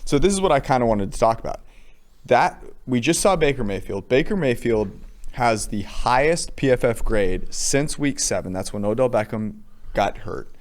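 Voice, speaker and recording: A man's voice: mid-range voice, animated, normal speed. A man co-hosting a casual football podcast. He moves the talk along with dry, teasing asides and direct questions to his partner. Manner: wry, teasing, steers the talk. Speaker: co-host. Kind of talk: casual sports chat.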